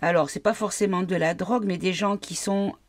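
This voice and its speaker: A woman's voice: lowish voice, fairly even intonation, fairly quick. A woman with a cold, reading tarot cards for her viewers in a casual, hesitant way, often asking the cards questions aloud. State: has a cold. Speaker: tarot card reader.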